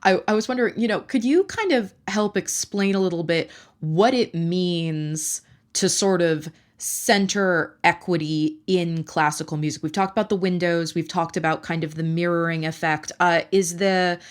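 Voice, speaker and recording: A woman with a notably low voice interviews her guest. She builds long questions slowly, hedging with fillers as she thinks aloud. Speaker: reporter and podcast host.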